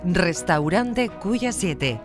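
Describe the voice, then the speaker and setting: A woman's voice: fairly low pitch, expressive, very slow, over music. A woman with an accent from Spain, presenting a television food show with scripted narration. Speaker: TV cooking show host.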